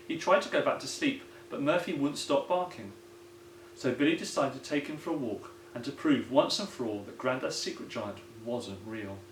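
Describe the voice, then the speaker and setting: A man, a primary school teacher, reading a children's story aloud. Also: medium pitch. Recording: roomy echo, some background noise.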